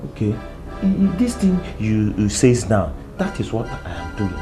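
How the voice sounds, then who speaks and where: A woman plays an anxious shopkeeper who speaks broken English. The voice sits low but swings wildly up and down as the shopkeeper pleads and says the same words again and again. Music runs under the scene. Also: very animated, normal speed. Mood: pleading.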